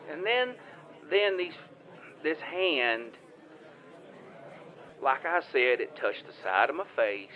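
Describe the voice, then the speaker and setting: Muffled phone sound, a Southern US farmer, flustered, devout, slow and halting, folksy, audio comedy. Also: male voice, mid-range voice. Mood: uneasy, embarrassed. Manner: rambling, stammering, halting, folksy. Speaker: caller. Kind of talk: improvised comedic audio drama phone call.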